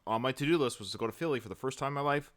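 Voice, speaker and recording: A man in his forties hosting a casual podcast chat and talking with animated, fan-like enthusiasm. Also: medium pitch, rapid-fire. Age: 40s. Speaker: podcast co-host.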